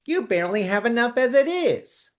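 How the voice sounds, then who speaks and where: A man voicing his own whiny, nagging inner critic in a high, complaining tone. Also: very animated, moderate pace. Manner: whining, scolding about money.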